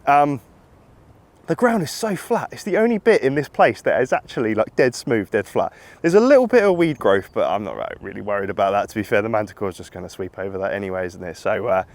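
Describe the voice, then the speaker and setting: Husky-voiced man with a cold, chatty. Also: on the low side, sing-song, fast. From England. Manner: chatty, rambling, upbeat, laughs often. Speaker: metal detecting vlogger. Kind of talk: casual outdoor vlog chat. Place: open wet field outdoors.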